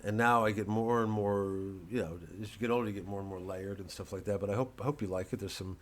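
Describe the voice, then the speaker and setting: A middle-aged man with a fairly low voice talks casually, as a comedian promoting his own work would. He wanders off on tangents and circles back, halting often. The tone is wry and unpolished. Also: fairly even intonation. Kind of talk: rambling casual talk to camera.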